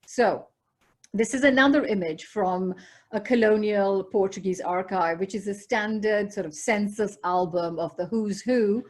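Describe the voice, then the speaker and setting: A woman with a Goan accent giving an academic keynote lecture over a video call, measured and scholarly, with asides to the audience. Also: mid-range voice. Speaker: keynote speaker. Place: video call from home or office. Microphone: computer or headset mic on a video call.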